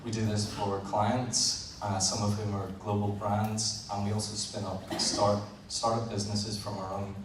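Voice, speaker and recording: A man with a Northern Irish accent giving a live presentation to an audience as an engineer, speaking plainly and a bit haltingly with frequent fillers. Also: fairly low pitch, level tone. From Northern Ireland. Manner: plain, a little halting, with frequent "uh" and repeated words. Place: large event hall. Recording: roomy, distant event recording with background noise.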